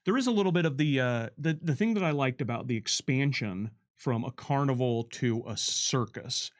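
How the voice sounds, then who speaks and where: A man's voice: medium pitch, moderate pace. A man giving conversational developer commentary, explaining things step by step and often checking with "right?".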